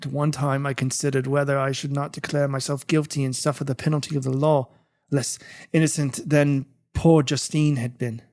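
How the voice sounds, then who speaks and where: A man playing an anguished, guilt-ridden imprisoned gentleman, narrating a literary audiobook in a theatrical, brooding manner. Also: medium pitch, flat delivery, normal speed, lightly acted. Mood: gloomy, reflective.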